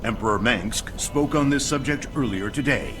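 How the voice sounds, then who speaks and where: A man's voice: mid-range voice, normal speed, music in the background, lightly acted. An actor playing a bombastic, slanted American TV anchorman in a game cinematic, slick and sensationalist. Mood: pompous indignation. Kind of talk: parody news broadcast in game cinematic.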